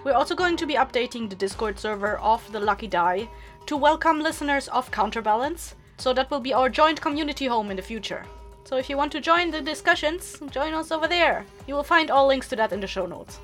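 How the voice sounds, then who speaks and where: Female podcast host, higher register, casual chatty announcements with upbeat energy, music underneath. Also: animated, brisk, fairly bright tone. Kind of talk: casual scripted announcement to listeners.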